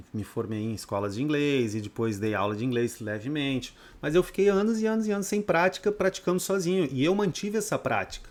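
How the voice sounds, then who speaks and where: A man from Brazil, teaching a trading course in a blunt, profane, off-the-cuff lecture full of rhetorical questions. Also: medium pitch. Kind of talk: unscripted lecture to students.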